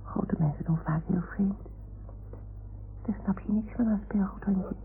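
A woman's voice: medium pitch, a little slow, vocal fry, whispered. A woman voicing a naive, curious little toy dog in a radio play, with a childlike, wondering manner. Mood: naive, confiding. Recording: noisy, tinny old radio recording.

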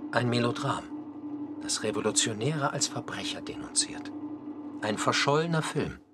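A man's voice: mid-range voice, expressive, moderate pace, loud music behind the voice, close to an everyday voice. A man delivering scripted dramatic narration for a radio documentary, with emphatic, pointed phrasing.

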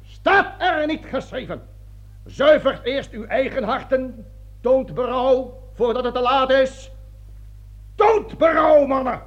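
A dull, lo-fi radio play recording of a pompous colonel. His voice sits high and swings widely as he orates, barks orders and drops into hushed asides. He is a smooth-talking opportunist, grandiloquent and excitable. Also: full character voice. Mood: fervent preaching.

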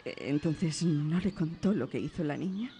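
A woman playing a worried mother in a radio drama, with a Castilian accent and a halting, hesitant delivery. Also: sits low, warm tone, slight background noise. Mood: polite, probing. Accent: Castilian Spanish. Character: worried, hesitant mother seeking help for her daughter. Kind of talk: Spanish radio drama acting.

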